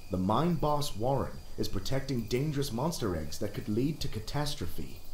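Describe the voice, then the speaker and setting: Guy as serious game character, mid pitch, formal and earnest, echoey with faint crickets. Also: darkish tone, close to an everyday voice. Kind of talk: video game character dialogue. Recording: roomy echo, faint night ambience noise.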